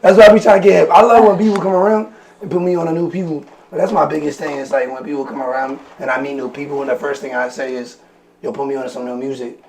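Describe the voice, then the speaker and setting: A man hosting a podcast in casual, slangy chat. His voice is raspy and gravelly, worn from smoking and studio work, and he talks at a quick clip. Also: a little high, steady tone, a crowd in the background, poor audio. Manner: slangy, talkative. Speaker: podcast host.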